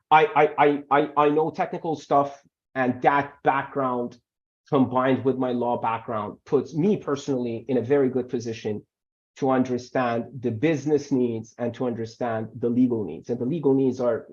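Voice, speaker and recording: A man whose first language is Farsi, speaking as an immigration lawyer at a middle pitch over a video call. His audio sounds dull and lo-fi. He talks in an animated way and repeats words when he pushes a point. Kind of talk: webinar Q&A answers.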